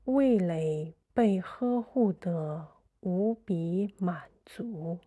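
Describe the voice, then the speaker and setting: A woman narrating a guided sleep meditation in a soothing, measured way, in short phrases with pauses. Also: fairly low pitch, mellow.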